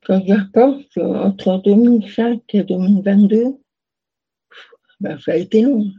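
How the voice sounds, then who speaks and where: A woman's voice: mid-range voice, unhurried, slightly rough, lo-fi sound. A young woman answering interview questions casually, hesitant, with many fillers and restarts. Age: young adult. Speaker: interview guest.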